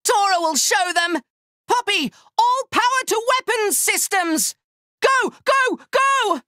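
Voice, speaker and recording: A man's voice: very high voice, expressive, slow, bright, forceful tone, no echo, studio quality, full character voice. A man voicing a small furry creature from a video game, eager, boastful and childlike, speaking in cutesy, exclamatory broken phrases. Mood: determined. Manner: cutesy broken grammar, third-person self-reference, exclamatory. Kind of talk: video game battle voice lines.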